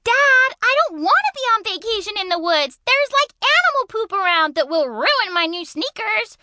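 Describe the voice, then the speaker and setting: A woman's voice: extremely high pitch, very animated, normal speed, sharp tone, pitched above the performer's own voice, heavily acted. A woman voicing a feisty, squeamish American teenage girl for a cartoon, complaining dramatically. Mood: disgusted whining.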